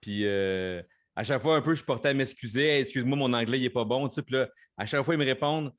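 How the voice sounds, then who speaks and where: Halting Québécois podcast co-host. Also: male voice, medium pitch. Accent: Québécois French. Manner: halting, restarts often. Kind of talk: casual chat.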